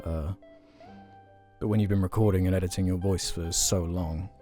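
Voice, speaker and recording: A man's voice: low-pitched, fairly even intonation, moderate pace, warm tone, faint music behind. A young man co-hosting a podcast, chatting casually and reading listener questions aloud. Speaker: podcast co-host.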